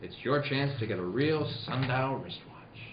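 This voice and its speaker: A man with a middling pitch hosts and announces in old-time radio fashion, with wry, informal asides. The recording is roomy and muffled, with some noise behind him. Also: lively intonation, normal speed. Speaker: host and announcer. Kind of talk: casual hosting and hammy 1940s radio ad reading. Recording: echoey room, muffled, noticeable noise.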